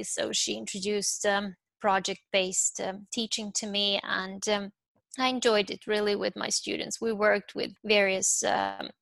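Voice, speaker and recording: Middle aged serbian woman interview guest, creaky voice, pretty flat tone, hesitant, picking her words. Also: medium pitch, dry recording. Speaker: interview guest, university professor. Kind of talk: reflective interview answers.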